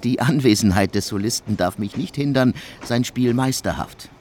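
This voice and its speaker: A man's voice: medium pitch, normal speed, a little mellow, natural voice. A man playing a reserved, cultivated composer in a radio play, speaking formally with dry irony. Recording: clear background noise and ambience.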